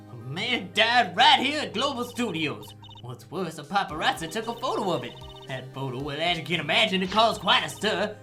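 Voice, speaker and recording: A crotchety old security granny from a game dub, sharp voice, swings all over. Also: female voice, mid-range voice. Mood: weary, confessing. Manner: scolding, melodramatic, old-fashioned slang. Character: cranky, loud old female studio security guard. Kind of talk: video game fandub voice acting.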